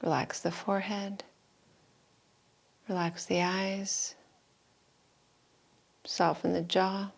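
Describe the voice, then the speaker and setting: A woman yoga teacher giving guided meditation and breathing instructions in an unhurried, soothing manner with long pauses. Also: medium pitch, level tone, creaky. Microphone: live video call stream.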